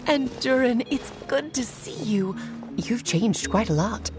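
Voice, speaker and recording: A woman's voice: on the high side, lively intonation, measured pace, music in the background. A woman voicing a playful, chatty, self-assured goddess in a video game, breezy and theatrical. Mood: breezy, explanatory.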